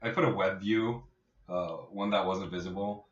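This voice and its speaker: A man co-hosting a casual tech podcast as an app developer, explaining things in an informal, jokey, halting way. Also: low-pitched, fairly even intonation. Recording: echoey room, distant muffled mic.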